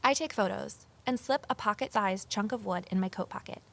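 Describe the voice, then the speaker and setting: A woman's voice: mid-range voice, fairly quick, vocal fry, a bit bright. A woman playing an earnest, uneasy amateur investigator, confiding and intent as she narrates an audio drama. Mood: confiding, tense. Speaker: narrator and lead character.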